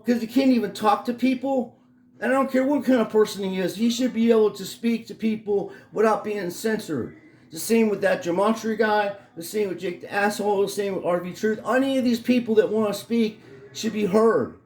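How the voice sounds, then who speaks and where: A middle-aged man with a hoarse voice, ranting angrily and profanely in a rambling home vlog. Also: high voice, a little fast, a little mellow, echoey room. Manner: angry, profane, rambling rant. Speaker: vlogger. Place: his home in Florida.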